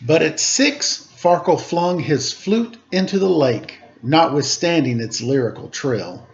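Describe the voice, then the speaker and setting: Lively man reading a children's story aloud. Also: a little high, unhurried. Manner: expressive, playful sing-song delivery. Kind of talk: animated read-aloud storytelling with rhyming verse. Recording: slightly dull, lo-fi home recording.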